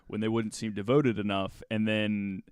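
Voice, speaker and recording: A man with a fairly low, smooth voice, recorded dry and close. He tells a story casually as a podcast host, halting and hedging as he goes, in a loose conversational way. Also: level tone, no echo.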